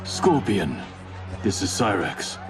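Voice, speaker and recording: A man playing a stern, resolute ninja warrior in a cinematic video game, serious and measured. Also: fairly low pitch, very animated, over music. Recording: clear musical score behind.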